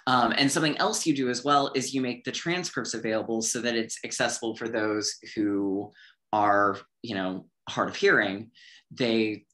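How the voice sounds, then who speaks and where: A man's voice: fairly low pitch, moderate pace, a bit bright. A man hosting a casual podcast interview in an encouraging, enthusiastic manner. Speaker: co-host interviewer.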